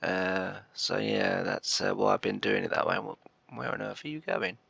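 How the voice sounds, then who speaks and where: A congested man with a very deep, gravelly voice, chatting his way through a farming game. He rambles and hesitates, restarting sentences, and sounds croaky and stuffed up. The recording is dry and a bit dull, like a modest home mic. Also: steady tone, moderate pace, fairly bright tone, no echo. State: congested and croaky from a chest cold. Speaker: gameplay commentator. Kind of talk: casual let's-play commentary.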